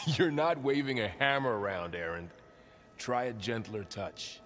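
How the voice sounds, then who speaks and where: A man playing a patient, dry-witted hunting mentor in a game cutscene, calm and instructive. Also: medium pitch, measured pace, close to an everyday voice. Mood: patient, teasing. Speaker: game character actor. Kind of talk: dramatic game cutscene acting. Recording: distant reverberant hall, music behind.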